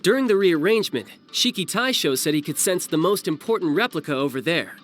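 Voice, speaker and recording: A man's voice: a little high, lively intonation, a little fast, fairly bright tone, faint music behind. A man voicing a cocky, battle-hungry young male warrior in a video game cutscene, breezy and confident.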